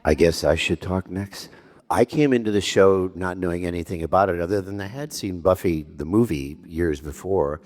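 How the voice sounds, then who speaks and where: A man's voice: deep voice, moderate pace. A man on a panel telling a story about his casting, acting out the dialogue as he goes. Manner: animated storyteller, acts out dialogue. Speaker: actor panelist. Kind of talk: anecdotal panel talk.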